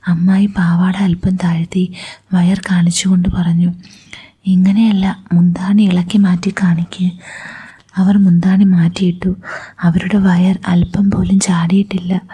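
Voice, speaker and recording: Whispering woman, dark and husky. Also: on the low side. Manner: breathy whisper throughout. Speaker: story narrator. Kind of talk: whispered first-person story reading.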